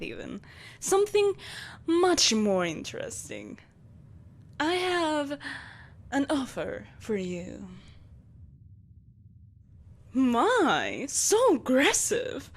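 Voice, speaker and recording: Theatrical evil empress, wildly swooping voice. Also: female voice, high voice, heavily acted. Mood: amused, mocking. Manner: imperious, theatrical, savouring pauses, mockingly sweet. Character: malevolent, possessive tyrant empress, theatrical and menacing. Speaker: voice actor in an audio roleplay. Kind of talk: dramatic audio roleplay monologue.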